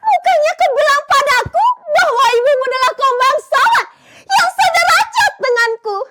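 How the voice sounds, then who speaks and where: Girl doing a snooty rich wife, super high and shrill, accusing. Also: animated, moderate pace, bright, forceful tone, no echo, studio quality, full character voice. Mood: scornful shrill. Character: haughty young noblewoman wife, scornful.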